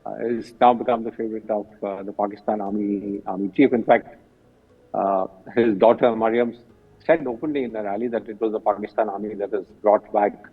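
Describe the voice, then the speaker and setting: An older man with an Indian accent giving analysis as an interview guest, speaking in halting, hesitant phrases over a remote call. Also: on the low side, fairly even intonation, breathy edge. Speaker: retired general, guest analyst. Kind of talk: interview commentary. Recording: muffled phone-like remote call, distant.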